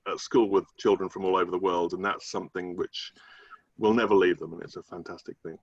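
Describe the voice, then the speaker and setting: A man's voice: sits low, steady tone, a little fast. A middle-aged British man answering as a coach being interviewed on a video call, thinking aloud with restarted phrases. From United Kingdom. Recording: dull, muffled video-call audio.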